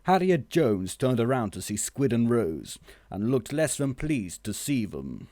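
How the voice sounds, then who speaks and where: A man reading a scripted comic story as narrator, measured and deadpan. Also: medium pitch, no echo, studio quality.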